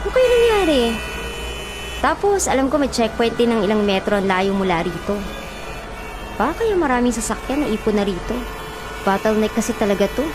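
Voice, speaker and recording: High-voiced, fussing young Filipina companion. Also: female voice, big pitch swings, fairly quick, long pauses, background noise. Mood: curious, worried. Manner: talkative, fussing. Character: chatty, caring, nagging young female companion. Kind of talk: Filipino radio drama acting.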